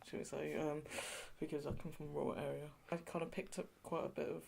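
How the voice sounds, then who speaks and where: A woman's voice: low-pitched, flat delivery, fast talker, vocal fry, darkish tone, breathy edge. A woman from England being interviewed, talking in a chatty way with lots of "you know" and light laughs. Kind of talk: oral history interview.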